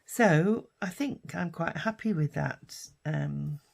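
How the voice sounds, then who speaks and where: An older British woman with a husky, hoarse voice from a lingering cough, chatting casually while she crafts. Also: sits low, slow, a little mellow. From United Kingdom. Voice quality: husky, raspy. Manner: rambling and chatty, with many fillers. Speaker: craft vlogger.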